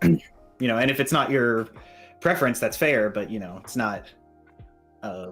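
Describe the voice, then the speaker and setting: Mid-pitched man in measured casual discussion. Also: expressive, fairly bright tone, low-quality recording. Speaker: podcast co-host. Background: music.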